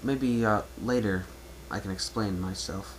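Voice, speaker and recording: A man's voice: fairly low pitch, a little slow, close to an everyday voice. A man playing a young male pony traveler who talks in a polite, casual, slightly hesitant and reserved way. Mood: guarded. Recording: noisy amateur home recording.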